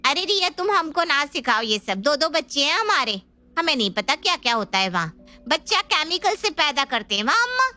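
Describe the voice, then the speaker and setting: Opinionated family woman voiced by a woman, forceful and high-pitched. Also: sing-song, brisk, faint music behind, full character voice. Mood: argumentative. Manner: argumentative, emphatic. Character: outspoken married sister-in-law, mother of two, stubborn. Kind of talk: Hindi family drama acting.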